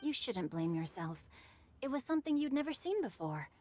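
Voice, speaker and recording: Refined, highish bookish boy. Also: sing-song, moderate pace, a little mellow, a little hiss or hum. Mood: reassuring. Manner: composed, literary, articulate. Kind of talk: anime game voice acting.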